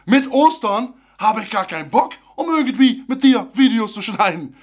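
A man, chatting casually to camera as a theme park vlogger in a small room, animated and a little loose with grammar. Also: high-pitched, moderate pace. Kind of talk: casual vlog talk to camera. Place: small room full of fan merchandise, indoors. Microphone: camera at close range.

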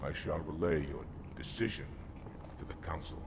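A stern, deep-voiced alien warrior. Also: male voice, moderate pace, background noise. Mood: restrained protest. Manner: formal, grave, resolute. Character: stern, honorable male alien warrior commander. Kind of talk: video game cutscene acting.

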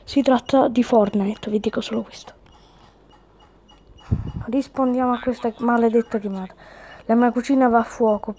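High-voiced woman commentating a game. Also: dark tone, a little hiss or hum. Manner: chatty, reads game text aloud mid-commentary. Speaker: gaming video host.

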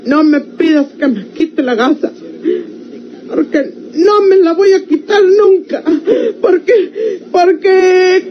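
An actress playing an ashamed, anguished young woman in a Mexican radionovela, halting and plaintive, with a Mexican accent. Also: very high voice. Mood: pleading. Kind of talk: golden-age radionovela melodrama acting. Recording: muffled, noisy vintage radio recording.

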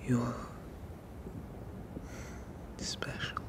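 A man as a slow, whispering sea monster father. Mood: tender. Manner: slow, soothing, hushed, formal phrasing. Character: protective, possessive sea monster father, tender and eerie. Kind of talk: whispered ASMR roleplay.

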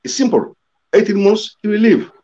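An older man talking as a panel guest on a video call, halting, with many restarts and "you know" as he explains. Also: sits high, expressive, mellow. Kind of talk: casual discussion on a video call. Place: room indoors. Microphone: laptop webcam video call. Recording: slightly dull video-call audio.

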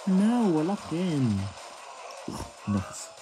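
A man's voice: on the high side, big pitch swings, extremely slow, music in the background, low-quality recording. A man casually reading game dialogue aloud and commenting as he plays. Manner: reads on-screen text in a plain voice. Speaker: let's play gamer.

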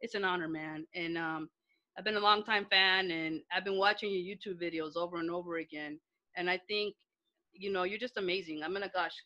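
A woman's voice: fairly low pitch, fairly even intonation, fairly quick, sharp tone, highs cut off. A middle-aged woman from Chicago hosting a casual video-call interview from home, chatty and gushing, swearing freely. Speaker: host. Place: bedroom at home. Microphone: laptop webcam video call.

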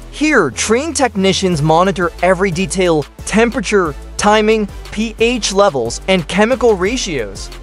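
Lively high-voiced male documentary narrator. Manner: steady explanatory delivery in short listed phrases. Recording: voice-over with faint background music.

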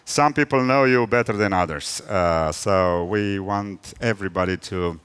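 A middle-aged man with a Romanian accent, a native Romanian speaker, interviewing on stage in halting English, searching for words. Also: deep voice, a bit bright, clean tone, in a large hall. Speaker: host, interviewer. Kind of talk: stage interview before an audience. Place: large hall stage, indoors. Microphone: headset.

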